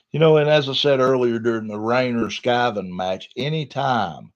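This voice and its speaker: A man's voice: medium pitch, slow. A man with a Southern US accent hosting a wrestling podcast, chatting and recapping matches in a folksy, colloquial storytelling way. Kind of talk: casual wrestling recap chat. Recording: dull, lo-fi podcast audio.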